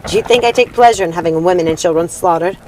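A woman's voice: lowish voice, fast, darkish tone, slight background noise, close to an everyday voice, poor audio. Woman acting a menacing, imperious witch queen mother. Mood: scornful, stern. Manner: commanding, theatrical.

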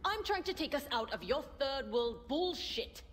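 A woman's voice: high voice, lively intonation, normal speed, a bit bright, big-room sound, a little hiss or hum. A woman voicing a fierce, ambitious young rebel leader in a video game cutscene, with sarcastic, confrontational delivery. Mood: scornful, heated.

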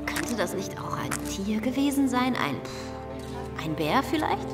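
A woman's voice: on the high side, big pitch swings, a little slow, over loud music. A determined female detective. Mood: probing. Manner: firm, probing, commanding. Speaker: police investigator. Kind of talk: German radio drama acting.

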